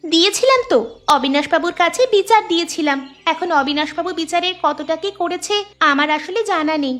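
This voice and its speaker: A woman's voice: extremely high pitch, brisk, a bit bright. A woman playing a bold, determined little village girl in a cartoon. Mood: matter-of-fact. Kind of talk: dubbed cartoon dialogue. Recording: music bed behind the voice.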